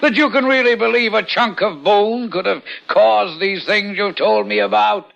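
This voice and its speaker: An actor playing a short-tempered, skeptical gentleman, mocking and blustering, in an old-time radio suspense drama. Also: high-pitched, normal speed, vocal fry, highs cut off, full character voice. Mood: indignant. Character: scornful, skeptical, short-tempered gentleman who mocks superstition.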